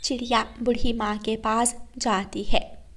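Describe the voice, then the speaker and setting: A woman narrates a children's tale. Her voice is high. She takes the script at a slow, measured pace. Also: little pitch movement, fairly bright tone. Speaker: story narrator.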